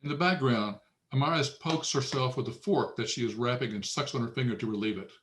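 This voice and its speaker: A man's voice: medium pitch, fairly quick, a bit bright, slight background noise. A man narrating stage directions matter-of-factly at a screenplay table read.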